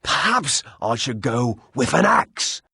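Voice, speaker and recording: A man's voice: mid-range voice, very animated, slow, sharp tone, slightly rough, no echo, studio quality, full character voice. A man voicing a theatrical, cowardly comic sidekick adventurer in a video game, hammy and dramatic. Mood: jaunty. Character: jolly, theatrical, cowardly male adventurer playing ship's captain.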